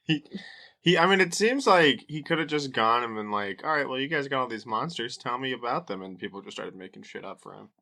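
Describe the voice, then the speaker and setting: Male co-host, gravelly creak with a bright edge; slangy, joking, casual chat. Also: mid-range voice, expressive, brisk. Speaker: podcast co-host.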